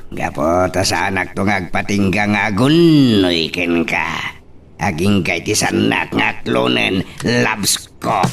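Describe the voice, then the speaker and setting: A man's voice: low-pitched, takes it slowly, gravelly, a bit bright, far from the mic, faint music behind, exaggerated voice. A man playing a drawling, sweet-talking servant wooing his sweetheart in an Ilocano radio drama.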